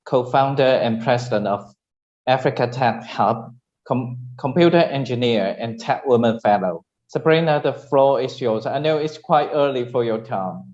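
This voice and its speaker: A man's voice: mid-range voice, moderate pace, darkish tone. A man hosting an online panel, thanking speakers and handing over the floor as moderator. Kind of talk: event hosting. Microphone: online conference call. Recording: muffled online call.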